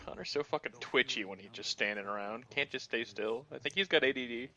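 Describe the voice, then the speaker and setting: A man's voice: medium pitch, fairly quick, bright, forceful tone. A man giving casual gaming commentary in a sardonic, grumbling, profane way. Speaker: Let's Play commentator.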